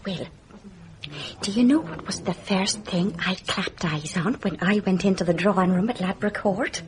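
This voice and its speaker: A woman's voice: mid-range voice, normal speed, slight background noise, close to an everyday voice. A woman playing an eager, chatty gossip in a radio mystery drama. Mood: eager, confiding.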